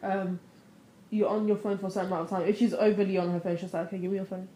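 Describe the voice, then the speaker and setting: A woman's voice: medium pitch, flat delivery, racing, dark tone. A young woman with a London accent, a guest in a casual chat, earnest and opinionated with many fillers. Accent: London English. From England. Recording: echoey room with faint noise.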